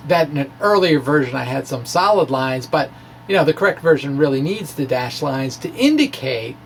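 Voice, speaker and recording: A man giving an informal science explanation in a halting way, as if thinking aloud. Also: fairly high pitch, vocal fry, slight background noise. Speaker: amateur scientist presenting his blog.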